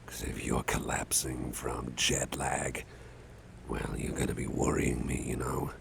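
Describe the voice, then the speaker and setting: A man playing a caring, affable boss in an ASMR roleplay, talking softly in a gentle, hesitant, chatty way with a gravelly voice. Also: little pitch movement, measured pace, exaggerated voice. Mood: concerned, tender. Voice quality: deep, gravelly, breathy at times. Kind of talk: ASMR roleplay, soft close personal talk. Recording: faint background noise.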